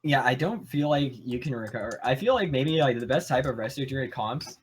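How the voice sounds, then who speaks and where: Fast-talking man calling esports play. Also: medium pitch, expressive, a little hiss or hum. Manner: quick analytical play-by-play. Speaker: esports co-caster.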